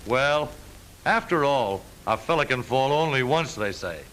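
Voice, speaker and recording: A man's voice: mid-range voice, expressive, unhurried, a bit bright, slight background noise, poor audio. A man with an American accent reading scripted narration for a vintage educational film in a wry, jaunty newsreel manner. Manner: wry, jaunty, wisecracking asides.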